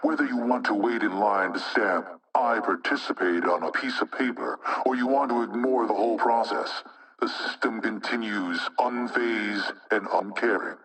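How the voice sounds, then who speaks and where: An actor playing an anonymous male hacktivist spokesman in a video game propaganda broadcast, speaking in a measured, rhetorical, insinuating way with menace. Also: lowish voice, a bit bright, recorded from a distance, close to an everyday voice, poor audio. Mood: ominous, preaching.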